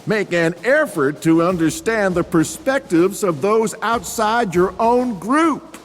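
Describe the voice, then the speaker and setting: A barking drill sergeant, as a man puts him on, pitched fairly high and swinging widely as he hammers out each point slowly. His commands ring through a long echoey hall with music and a crowd behind. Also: expressive. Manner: commanding, shouted lecture points. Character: barking male drill sergeant lecturing recruits.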